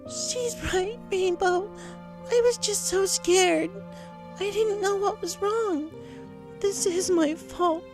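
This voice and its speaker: A woman's voice: extremely high pitch, slow pace, music in the background, voice pushed up. A woman voicing a tearful, guilt-ridden young female pony in an emotional, pleading story performance. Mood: tearful, remorseful. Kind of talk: dramatic audio fiction reading.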